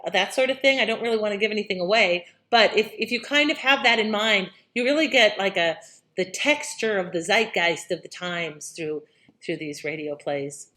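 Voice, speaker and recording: A woman's voice: mid-range voice, normal speed, a bit bright. A woman hosting, giving a casual, conversational introduction to old radio plays.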